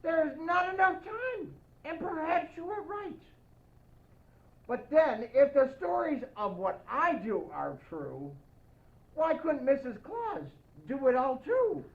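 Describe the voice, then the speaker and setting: Female storybook reader in a Santa persona, high voice, playful and expressive, slightly dull lo-fi sound. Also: slow pace. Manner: playful, expressive, addressing young listeners. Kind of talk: children's picture-book read-aloud with playful asides.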